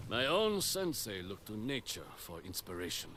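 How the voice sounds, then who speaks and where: An actor playing a stern, gruff middle-aged spear master and resistance leader in a video game, blunt and wry as he instructs. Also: lowish voice, sing-song, moderate pace, background noise. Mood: grave. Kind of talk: dramatic video game acting.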